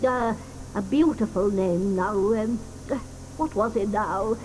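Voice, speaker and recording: A woman's voice: mid-range voice, takes it slowly, a little mellow, slight background noise, natural voice, rough recording. An actress playing a tiny, ancient, cantankerous grandmother in a studio radio play, with a quavering, tart way of talking. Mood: reminiscing.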